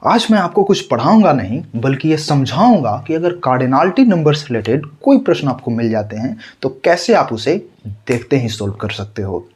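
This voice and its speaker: Animated male teacher with a sore throat. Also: medium pitch, moderate pace. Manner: rhetorical questions and repeated checks. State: sore throat, straining to speak. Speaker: math teacher. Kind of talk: tutorial lesson.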